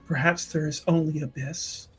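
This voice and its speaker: Casting: man as devout fantasy game sister, grave and formal, husky voice, faint music beneath. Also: a little high, steady tone, normal speed, a bit bright. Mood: reverent, prayerful. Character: solemn, devout chantry sister leading others in prayer. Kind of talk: in-character tabletop roleplay.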